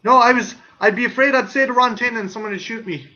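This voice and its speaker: A man with an Irish accent chatting casually on a livestream, rambling and swearing, with halting restarts. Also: very high-pitched, bright, forceful tone, dull audio.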